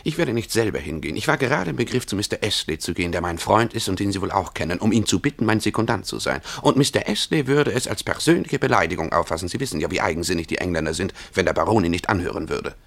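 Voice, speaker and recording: An actor in a German radio drama playing a proud, stubborn young tutor, wounded and defiant, with a pointed, questioning manner. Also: male voice, low voice, expressive, fast talker, vocal fry, few pauses, a bit bright, no room sound, exaggerated voice. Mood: proud, determined.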